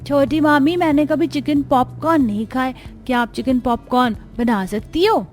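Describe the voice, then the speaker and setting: Woman putting on a high-pitched young boy. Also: moderate pace, faint music behind, close to an everyday voice. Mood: eager. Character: young boy nephew, food-eager, whiny. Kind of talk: Hindi cartoon story dialogue.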